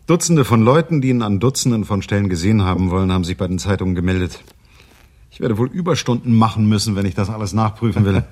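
An actor playing a dry, methodical detective in a German radio crime drama, speaking in a measured, matter-of-fact way. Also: male voice, lowish voice, expressive, a little mellow, no room sound. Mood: businesslike. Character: dry, methodical male detective narrating his case. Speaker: private detective and narrator.

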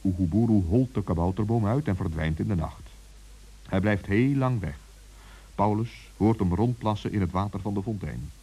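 A man tells a children's story in a low, mellow voice with a husky grain. His delivery is measured and expressive, as a scripted narrator. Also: rough recording.

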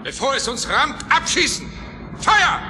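A man's voice: very high-pitched, big pitch swings, a little slow, reverberant, very noisy, exaggerated voice. An actor playing an obsessed, commanding mad scientist in a sci-fi radio play, imperious and theatrical, drawing out his words. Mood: commanding, frantic.

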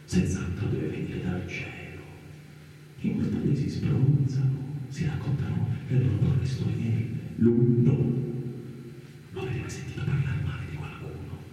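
Whispery, envious gossip in echo. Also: male voice, mid-range voice, very animated, measured pace, mellow, a little hiss or hum. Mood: suspicious, sly. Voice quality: whispery, breathy. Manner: insinuating, conspiratorial. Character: malicious, envious small-minded gossip muttering about a saintly man. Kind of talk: theatrical radio drama monologue. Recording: echoey, distant, roomy sound.

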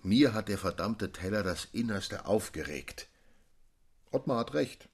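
Deep, husky, decisive gentleman. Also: animated, measured pace, heavily acted. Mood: unsettled, firm. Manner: emphatic, formal. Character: agitated, decisive gentleman in a literary circle. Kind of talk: literary radio drama acting.

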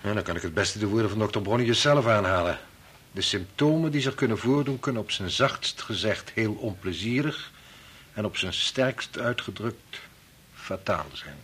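An actor playing a calm, sensible husband in a radio play, speaking in a measured, matter-of-fact way with gentle wryness. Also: male voice, fairly low pitch, slightly rough. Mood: measured, serious. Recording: noisy old radio play recording.

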